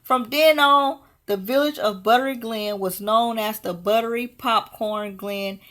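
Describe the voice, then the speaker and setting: Female picture-book narrator, higher register, unhurried and deliberate, expressive read-aloud style. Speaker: storyteller.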